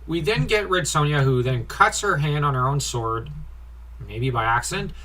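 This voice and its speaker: A man's voice: fairly high pitch, moderate pace, pushed and bright. A man giving an informal comic review in a nitpicky, critical way, given to sighing. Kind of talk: informal comic review commentary with read-out dialogue.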